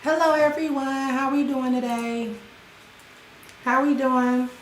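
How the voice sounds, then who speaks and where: Slow-talking hoarse woman host. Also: high voice, little pitch movement, a little hiss or hum. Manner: chatty, greets viewers by name. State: sounds hoarse. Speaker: channel host. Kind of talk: casual livestream commentary. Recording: roomy echo with faint music.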